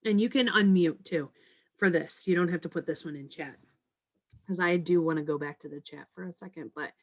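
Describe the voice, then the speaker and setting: A woman's voice: on the low side, a little fast. A woman leading her direct sales team over a video call, coaching them with repeated, emphatic points. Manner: repetitive, emphatic motivational coaching.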